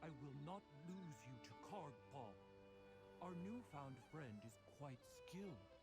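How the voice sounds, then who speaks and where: A man voicing a steady, caring tuskarr warrior in a video game, with loud music behind. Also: on the high side, a little slow. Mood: calm, firm.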